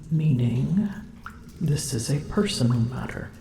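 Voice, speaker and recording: A woman's voice: a bass voice, lively intonation, mellow, reverberant, a little hiss or hum, heavily acted. A woman voicing a proud, smug female dragon in human form, haughty and teasing, in an ASMR roleplay. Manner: haughty, teasing, slow and deliberate.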